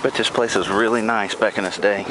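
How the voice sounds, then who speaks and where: A man's voice: fairly even intonation, brisk. A man casually narrating as he explores an abandoned amusement park, guessing aloud what things used to be. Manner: musing, speculative, low-key. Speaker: urban explorer filming. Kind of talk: casual walk-through commentary. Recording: loud outdoor noise on camera mic.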